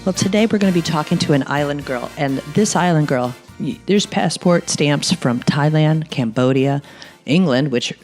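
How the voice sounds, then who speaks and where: A woman hosts a casual podcast, sounding slightly hoarse from a lingering cold. She talks in a bubbly, rambling way, restarting her questions as she goes. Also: low-pitched, animated, normal speed, darkish tone, soft background music. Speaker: podcast host. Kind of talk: casual interview chat.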